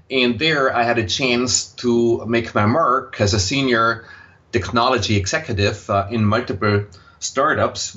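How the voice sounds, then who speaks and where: A man's voice: fairly low pitch, fairly bright tone. Austrian-accented older man, dull audio. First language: German. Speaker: podcast guest, impact investor and former tech executive. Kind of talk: conversational personal account in an interview.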